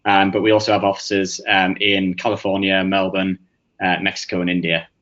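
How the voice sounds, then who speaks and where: A man from England with a Northeast English accent presenting a webinar, pausing often with fillers and restarts. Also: low voice, flat delivery, fairly bright tone. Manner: hesitant, many fillers and restarts. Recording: muffled lo-fi audio.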